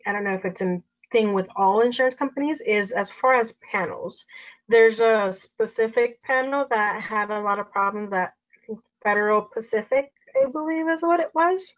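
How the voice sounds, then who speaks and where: A woman's voice: medium pitch, lively intonation, moderate pace. A slightly muffled, lo-fi recording carries an American woman with a mid-range voice. She talks as a casual interviewer, loose and informal, rambling through her points in an anecdotal style. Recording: slightly dull, lo-fi call audio.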